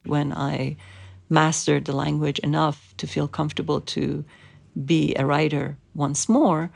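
Slow, gravelly woman with an Iranian accent. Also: low-pitched, steady tone, a little mellow. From Iran. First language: Persian. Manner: measured, thoughtful, with pauses. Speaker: interviewed writer. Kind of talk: reflective interview.

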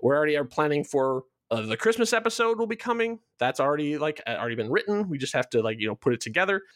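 High-pitched man chatting casually, dry close sound. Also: sing-song, fast, a bit bright, no room sound. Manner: rambling, self-deprecating, jokey. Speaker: show creator being interviewed. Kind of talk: casual promotional chat.

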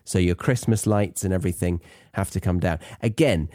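A man's voice: low-pitched, normal speed, dark tone, dry recording, clean studio sound. A British man hosting a podcast for English learners, chatting casually and rambling into playful, self-deprecating asides. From Britain.

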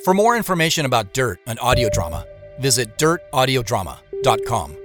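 A man narrates an audio drama from a script. His mid-pitched voice rises and falls in a lively way, with clear music playing behind it. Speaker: narrator and producer.

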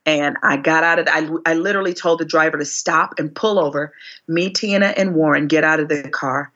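A woman in her forties, a singer being interviewed, telling her story expressively, her voice climbing high whenever she relives a moment. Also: steady tone, a little fast, fairly bright tone, highs cut off. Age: 40s. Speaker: guest singer.

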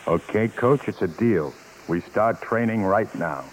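A man's voice: on the low side, a little slow, slight background noise, lightly acted, low-quality recording. A man playing a proud but dejected failed vaudeville performer, earnest and self-doubting in 1950s radio drama style. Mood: hopeful, earnest. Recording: old radio broadcast recording, music behind.

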